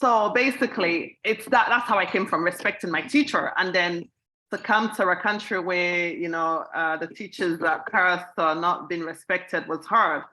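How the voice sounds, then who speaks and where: Bright-voiced Sierra Leonean woman panelist. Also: lowish voice, normal speed, low-quality recording. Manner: earnest, repeats herself, rising emphasis. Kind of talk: panel discussion answer.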